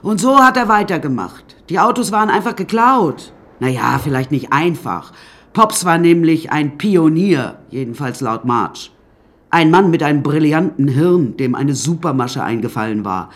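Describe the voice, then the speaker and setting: An actor playing a wry, rambling storyteller who recounts a troubled childhood in a radio drama monologue, with hesitant asides. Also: male voice, a little high, expressive, normal speed, fairly bright tone. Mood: scornful, wry.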